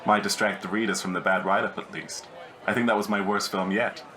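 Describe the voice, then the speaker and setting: A man's voice: fairly low pitch, steady tone, brisk, sharp tone. A man acting an affable character in a light comic audio drama. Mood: self-mocking.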